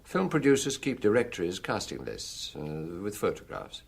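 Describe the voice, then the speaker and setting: An actor with a British accent playing a seasoned, gruff middle-aged police chief in a radio drama, measured, ruminative and commanding, with a husky voice. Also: male voice, fairly low pitch, sing-song, heavily acted. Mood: brisk, commanding.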